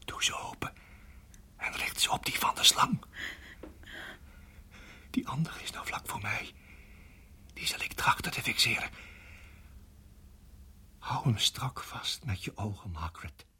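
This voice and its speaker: An actor playing a tense husband in a radio drama, speaking in a hushed, halting, urgent way with a husky voice. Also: male voice, fairly low pitch, sing-song, whispered, full character voice. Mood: whispered, careful. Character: tense husband guiding wife through deadly snake danger. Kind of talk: radio drama acting and narration.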